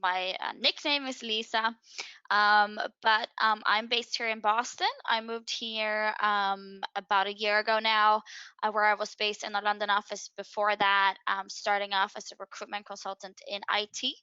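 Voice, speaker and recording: A woman's voice: mid-range voice, little pitch movement, normal speed, sharp tone, clear, resonant tone, dry recording. A woman with a Swedish accent co-hosting a webinar as a career advisor, talking conversationally with frequent ums. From Sweden. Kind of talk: webinar presentation. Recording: muffled dry lo-fi webinar audio.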